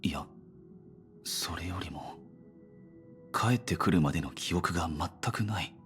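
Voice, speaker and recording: A man's voice: deep voice, brisk, a bit bright, faint music behind. An actor playing a serious, stoic young man in an anime game, guarded and puzzled. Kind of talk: Japanese anime game voice acting.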